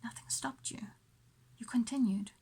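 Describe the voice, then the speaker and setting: A woman host leading a guided visualisation in a motivational coaching style, speaking deliberately with pauses and repeated phrases. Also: a little high, warm tone, breathy edge.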